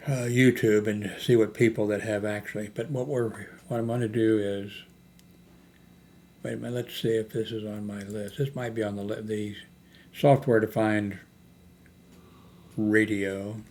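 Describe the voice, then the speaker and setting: An older man hosting a casual unscripted vlog, thinking aloud in a hesitant, halting way as he browses products. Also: on the low side, flat delivery, darkish tone. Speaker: YouTube host. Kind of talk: rambling, unscripted screen-browsing product chat. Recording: noticeable background noise, homemade recording.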